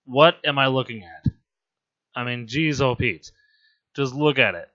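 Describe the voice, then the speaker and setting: A hoarse man with laryngitis giving casual, rambling, amused gameplay commentary as a voice-over. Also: mid-range voice, lively intonation, a little slow, fairly bright tone, muffled recording. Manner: rambling, amused and self-deprecating. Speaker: game commentator.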